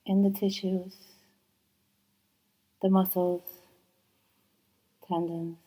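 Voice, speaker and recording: A woman teaching yoga nidra, guiding a relaxation meditation in a soft, soothing voice, slow and unhurried with long pauses. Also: lowish voice, flat delivery, poor audio. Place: yoga training course.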